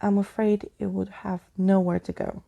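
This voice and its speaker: Woman acting courtly storybook skeleton gentleman, grandiose, theatrical, husky, gravelly. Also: mid-range voice, flat delivery, normal speed, lo-fi sound. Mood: politely hesitant. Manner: pompous, old-fashioned, theatrical wording. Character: courtly, eccentric male living skeleton with grandiose speech.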